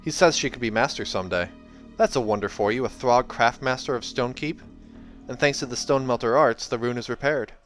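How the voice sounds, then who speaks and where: A hoarse man voicing an earnest, hopeful young male warrior in a storybook reading. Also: medium pitch, fairly quick, fairly bright tone, faint music behind, close to an everyday voice.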